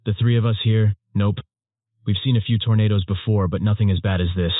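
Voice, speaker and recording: A man playing an earnest, measured storm chaser giving scripted interview answers. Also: fairly low pitch, steady tone, no echo, natural voice. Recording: muffled narrowband phone-like audio.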